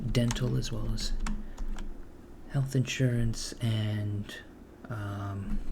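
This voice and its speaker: A man playing a polite, bureaucratic recruiter for an evil corporation in an ASMR roleplay, soft-spoken and halting, often slipping into a whisper. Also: lowish voice. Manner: hushed, halting, matter-of-fact. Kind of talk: ASMR roleplay, improvised job interview. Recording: clearly noisy close recording, keyboard typing. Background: computer keyboard typing.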